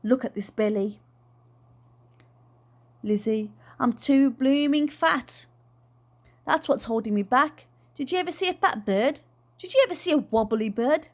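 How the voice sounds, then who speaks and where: A woman's voice: high voice, animated, a little slow, pauses often, full character voice. A woman voicing a plump, childlike dad in a storybook, eager, playful and boastful about flying. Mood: comic frustration. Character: plump, excitable, childlike dad who longs to fly.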